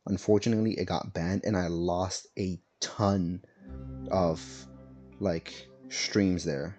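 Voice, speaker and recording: A man's voice: low voice, steady tone, takes it slowly, a little mellow, faint music behind. A man casually chatting while streaming a game, rambling with lots of fillers and self-corrections. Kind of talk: casual gaming stream chat. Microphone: laptop streaming setup.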